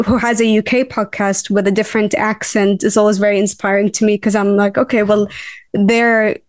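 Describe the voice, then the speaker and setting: A woman's voice: medium pitch, little pitch movement, a little fast, no echo. A young woman with a Lebanese accent and Arabic as her first language, talking candidly and chattily as a podcast co-host. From Lebanon, raised in Abu Dhabi. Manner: candid, chatty, filler-heavy.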